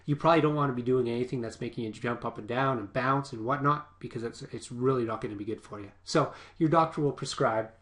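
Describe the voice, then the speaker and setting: A man who works as a personal trainer gives casual fitness advice with a stuffy, sniffly voice, often checking in with a quick "okay?". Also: mid-range voice. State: stuffy, sniffly from a nasal infection.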